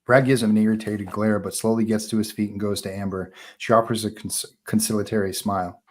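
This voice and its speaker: A man with a fairly low voice reads screenplay action and scene headings aloud. He narrates for a live table read. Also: little pitch movement, a little fast. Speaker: narrator reading stage directions.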